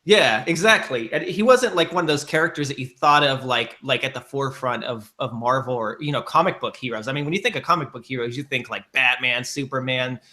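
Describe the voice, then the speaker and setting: A man's voice: mid-range voice, fairly quick, hardly any pauses, sharp tone. A man hosting a casual podcast chat, rambling with lots of fillers and restarts.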